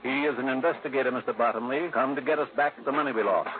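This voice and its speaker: A man playing a prim, pompous old-fashioned company manager in a vintage radio play. He speaks with fussy formality in a mid-pitched voice, through a narrow, phone-like broadcast sound with faint noise. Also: fast talker, close to an everyday voice. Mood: formal, courteous. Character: prim, stuffy old-fashioned male furniture company manager, easily scandalized. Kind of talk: 1950s radio drama acting.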